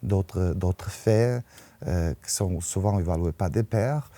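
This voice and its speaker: An older man, in his sixties, with a Portuguese accent speaks in a low, relaxed voice, recorded close and dry. He talks conversationally, as in an interview, repeating words while he looks for the right phrase. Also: slow pace, no room sound. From northern Portugal. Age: 60s. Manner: hesitant, repeats words as they search for phrasing. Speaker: interviewed writer and sociologist. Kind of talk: conversational interview, personal anecdotes.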